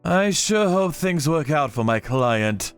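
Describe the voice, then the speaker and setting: A man's voice: a little high, very animated, slow, clean tone, faint music behind. A man narrating a dramatic story in the first person with theatrical delivery. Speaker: narrator of a manga channel story.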